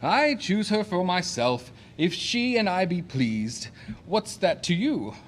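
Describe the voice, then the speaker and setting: An actor playing a brash, boastful, domineering suitor in a classic comedy audio play, with declamatory theatrical delivery. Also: male voice, sits high, big pitch swings, slow pace, full character voice. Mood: smug, declaiming.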